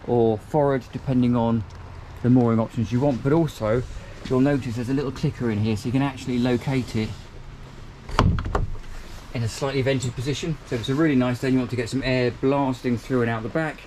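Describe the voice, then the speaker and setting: A man's voice: medium pitch, steady tone, a little fast, dark tone, slight background noise, low-quality recording. A man, giving an upbeat walkthrough tour of a small motor boat cabin, filmed on a handheld camera. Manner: chatty, upbeat, pointing out features as he moves. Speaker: boat reviewer.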